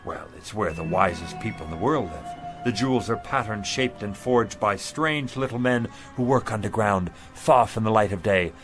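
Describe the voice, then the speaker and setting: An actor playing a kindly, folksy pitchman of sixty-nine who tells tales with theatrical sales patter in a radio drama. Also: moderate pace. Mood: showman's patter. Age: older. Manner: theatrical salesman patter with children, gentle and chatty. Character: kindly sixty-nine-year-old male street pitchman, folksy storyteller. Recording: music under the voice.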